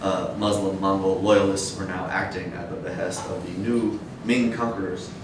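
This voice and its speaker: Male academic, deep voice, measured and hesitant lecture delivery, recorded from afar in a reverberant room. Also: little pitch movement. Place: dim wood-shelved seminar room with audience, indoors. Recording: echoey room, distant mic, noisy rumble.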